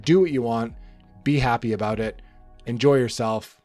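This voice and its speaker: A slightly hoarse man talks through his thoughts at an easy, rambling clip, circling back and restarting phrases as he goes. His pitch sits in an ordinary middle range. Soft music plays faintly under his voice. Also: moderate pace. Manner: relaxed, rambling, frequent restarts and fillers. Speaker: book channel host. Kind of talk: rambling casual monologue to camera.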